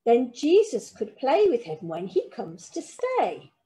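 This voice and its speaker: A woman voicing a calm, kindly grandfather in a storybook. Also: fairly high pitch, slow pace, exaggerated voice. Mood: soothing. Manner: soothing, gentle.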